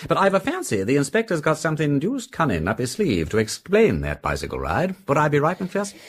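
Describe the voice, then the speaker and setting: An actor playing a breezy, quick-witted aristocratic gentleman sleuth with an upper-class English accent in a detective radio drama. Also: a little high, wildly swooping pitch. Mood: breezy, wry.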